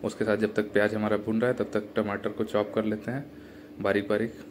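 A young man talks viewers through his cooking in a relaxed, chatty way and calls them brother. His voice sits low and stays fairly level. The small kitchen sounds echoey, with faint background hum. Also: fairly quick. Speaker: cooking vlogger. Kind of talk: casual cooking voice-over. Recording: echoey room with faint ambient noise.

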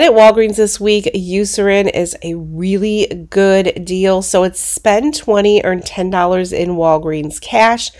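A congested woman hosting a coupon channel, narrating a screen walkthrough of shopping deals in a brisk, practical way. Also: medium pitch, darkish tone. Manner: explains steps in a brisk, practical way.

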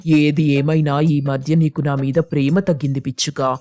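Woman acting a cartoon crow husband, low pitch, coaxing, complaining, affectionate, music underneath. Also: fairly even intonation, normal speed, mellow, close to an everyday voice. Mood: mildly reproachful. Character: affectionate, nagging husband crow craving hot fritters. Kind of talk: children's cartoon story dialogue.

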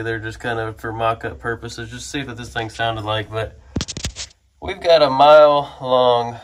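A man casually narrating his work on a truck in his garage shop, relaxed and rambling as he talks while working. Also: mid-range voice, slight background noise.

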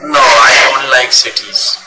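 A man's voice: medium pitch, unhurried, roomy sound, low-quality recording. A man in his fifties from India, teaching an online English lesson over a video call, patiently repeating and explaining. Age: 50s.

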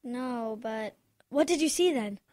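A woman's voice: on the high side, expressive, measured pace, a little mellow, dry recording, clean studio sound. A woman playing a curious young grandson in a radio drama.

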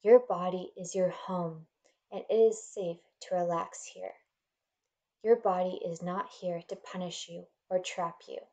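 A woman leading a guided meditation in a gentle, soothing, measured manner, leaving pauses between instructions. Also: on the low side, fairly even intonation.

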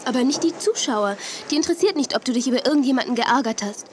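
An actress playing a sensible, encouraging young TV presenter in a children's radio play. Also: female voice, high voice, lively intonation, quick pace, background noise.

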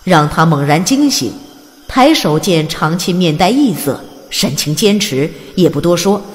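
A woman narrating an audiobook in a calm, even storytelling manner. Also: on the low side, measured pace, a little hiss or hum. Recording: long reverb, soft music behind.

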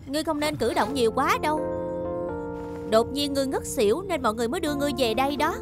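A woman's voice: sits high, lively intonation, fast talker, over loud music. A woman playing a cautious, prim young cartoon heroine in a Vietnamese dub, earnest and girlish. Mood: concerned. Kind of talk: Vietnamese-dubbed cartoon acting.

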